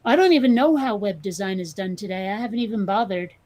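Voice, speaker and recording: A woman's voice: mid-range voice, quick pace, darkish tone. A woman with a New England accent, co-hosting a casual chat, blunt and sarcastic, swearing freely. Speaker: podcast co-host.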